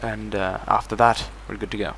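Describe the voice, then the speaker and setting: A man's voice: on the low side, level tone, moderate pace, creaky, a bit bright, slight background noise. A man hosting a let's play, talking through his strategy game moves as he thinks them over. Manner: thinks aloud while managing a strategy game. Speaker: gaming channel host.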